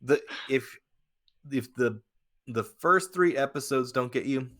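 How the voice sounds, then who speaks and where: A man co-hosting a podcast, chatting casually and giving opinions in a halting, blunt way with some swearing. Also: medium pitch, sharp tone. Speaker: podcast co-host.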